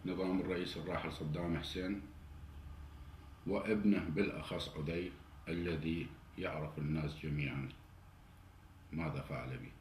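A man's voice: a bass voice, steady tone, normal speed, long pauses. A man in his forties with an Iraqi Arabic accent, delivering an indignant political monologue straight to the camera. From Iraq. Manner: indignant, grows heated and louder. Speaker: political commentator. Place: indoor study with flag and cabinet. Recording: roomy indoor echo, faint hiss.

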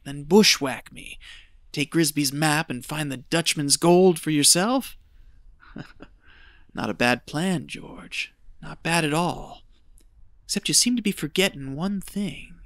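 An actor playing an exhausted, rambling treasure hunter losing his wits, folksy and breathy, muttering to himself in an audio drama. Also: a little high, a bit bright, dry recording, studio quality, exaggerated voice. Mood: wry, suspicious, then taunting, unhinged. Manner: folksy, muttering to himself, slow halting delivery.

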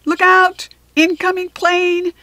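Lady doing a joking little schoolboy from a storybook, playful and higher pitched, american. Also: little pitch movement, slow, pushed and bright, no echo, exaggerated voice. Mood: boisterous. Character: mischievous young schoolboy, loud and joking.

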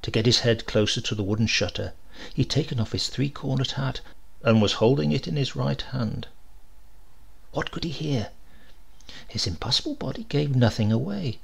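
A man reading a comic short story aloud as an expressive audiobook narrator. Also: medium pitch, creaky, breathy edge.

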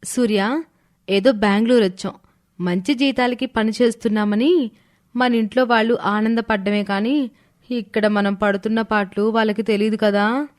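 Actress as young office-going wife, earnest, slightly high voice, story cartoon. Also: female voice, steady tone, normal speed, natural voice. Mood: worried. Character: young working wife and her chummy office friend. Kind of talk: animated moral story dialogue.

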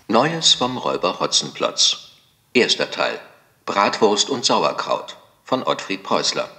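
A man's voice: low voice, sing-song, a little slow, gravelly, bright, forceful tone, heavily acted. A man announcing a children's audiobook title in a scripted style.

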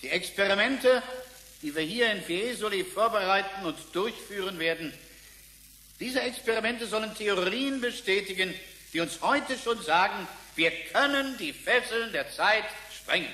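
An actor playing a passionate, formal scientist in a radio drama, speaking in an emphatic, oratorical way. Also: male voice, high-pitched, lively intonation, normal speed, sharp tone. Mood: solemn, confident. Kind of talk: German radio drama acting. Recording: roomy, echoing sound.

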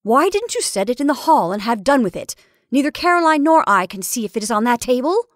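A woman's voice: on the high side, very animated, fairly quick, no room sound, clean studio sound, voice pushed up. An impatient storybook wife, voiced by a female reader, scolds and insists in a peremptory manner. Her voice is slightly raised and very closely, dryly recorded. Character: impatient, peremptory married woman busy with sewing.

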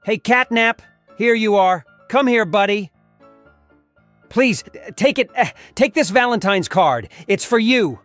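A man voicing a warm, polite, eager cartoon dog in an animated comic dub. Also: high-pitched, unhurried, faint music behind. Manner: earnest, polite.

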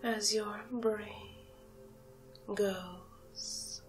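A woman performing a whispered ASMR hypnosis roleplay as a haughty, mesmerizing alien cow, breathy and drawn-out with long pauses. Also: mid-range voice, steady tone, faint music behind. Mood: hypnotic, soothing.

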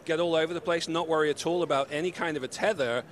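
Upbeat British man pitching tech at a booth. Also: fast talker. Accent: mixed British. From United Kingdom. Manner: upbeat, salesy, gesturing while explaining. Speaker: company representative. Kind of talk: trade-show interview. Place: busy convention hall booth. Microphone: handheld mic held by interviewer.

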